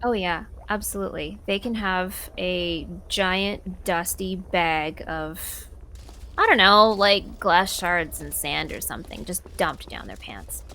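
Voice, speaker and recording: A woman's voice: fairly low pitch, takes it slowly, sharp tone. A woman chatting casually as an interview guest in an informal, emphatic way. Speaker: podcast guest, voice actor and software developer. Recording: clear background noise, room ambience.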